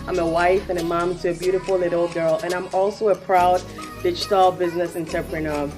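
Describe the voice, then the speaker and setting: A woman from Ghana giving a steady, earnest self-introduction as a professional and mother. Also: fairly low pitch, brisk. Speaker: oil and gas professional and entrepreneur. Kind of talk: promotional testimonial. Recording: music playing behind.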